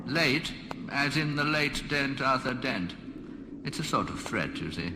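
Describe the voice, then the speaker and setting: An actor playing an ancient, mild-mannered alien planet builder in a radio comedy, grandiose but lapsing into vague, chatty asides. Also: male voice, medium pitch, animated, measured pace, music in the background, close to an everyday voice. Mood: grave, apologetic. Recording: cavernous reverb, noisy background.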